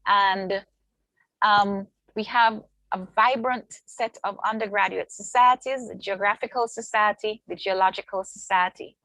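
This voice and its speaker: Woman lecturer, gravelly voice, dry video-call sound, measured listing delivery. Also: medium pitch, steady tone, pushed and bright, no echo, poor audio. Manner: measured, list-like delivery with frequent ums. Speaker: university lecturer presenting her department. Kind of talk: online orientation presentation.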